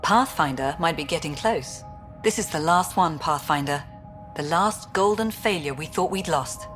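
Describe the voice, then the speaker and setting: A no-nonsense colony director lady in a video game, deep for a woman, candid n official, bit of music under. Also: moderate pace, fairly bright tone. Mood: earnest, approving. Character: brisk, pragmatic female colonial director, guardedly approving. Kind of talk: video game character acting.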